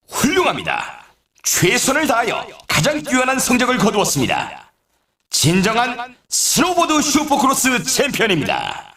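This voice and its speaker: An excitable snowboarding announcer for a video game. His pitch swings wildly as he delivers polite, dramatic scripted commentary. The sound is echoey and set back from the microphone. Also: heavily acted. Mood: grand, triumphant. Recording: roomy echo, distant from mic.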